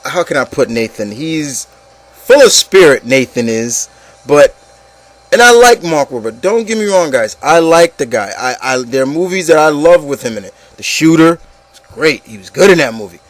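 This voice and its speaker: A man's voice: fairly high pitch, big pitch swings, normal speed, no echo. A man hosting an opinion show, chatting informally about movies and addressing the listeners in a casual, opinionated way.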